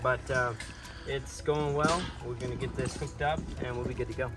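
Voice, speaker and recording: A man hosting a casual building vlog, explaining his outdoor job site work step by step in a relaxed, upbeat way. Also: mid-range voice, normal speed, over loud music. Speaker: pond builder, show host.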